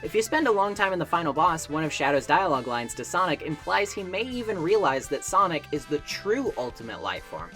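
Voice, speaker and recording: Lively low-pitched woman narrating. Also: brisk, few pauses. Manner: emphatic, conversational argument. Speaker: video essayist. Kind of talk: scripted video essay narration. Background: music.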